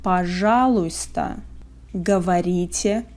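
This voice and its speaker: A woman with a Russian accent teaching phrases, saying them word by word with careful pauses for learners to repeat. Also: fairly low pitch, darkish tone. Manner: word-by-word, carefully spaced pronunciation. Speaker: language teacher. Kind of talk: slow phrase drills for learners.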